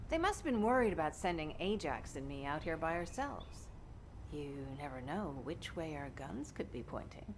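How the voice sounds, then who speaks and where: A woman's voice: deep voice, sing-song, normal speed, background noise. A woman voicing a blunt, hardened soldier mother in a video game, with a curt, sardonic way of talking. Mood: dryly sardonic. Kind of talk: video game cutscene acting.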